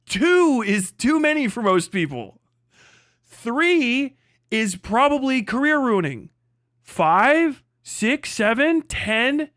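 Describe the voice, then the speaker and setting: A man's voice: extremely high pitch, a bit bright, dry recording, studio quality. A man from the United States giving off-the-cuff livestream commentary in a blunt, emphatic, swear-heavy way, repeating phrases to drive points home. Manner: blunt, heavily profane, emphatic.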